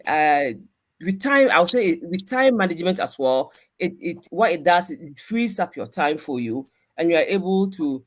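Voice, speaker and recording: A woman's voice: on the low side, slight background noise. Earnest woman from Ghana on a video call. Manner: earnest, repeats and restarts phrases. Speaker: panel guest. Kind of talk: casual advice chat.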